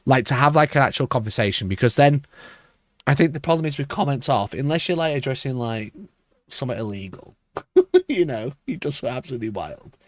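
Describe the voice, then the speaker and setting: A man with a rough, hoarse voice, chatting casually on a livestream and rambling with frequent restarts. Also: medium pitch, lively intonation, brisk, no room sound. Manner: rambling, restarts mid-sentence. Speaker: livestream host.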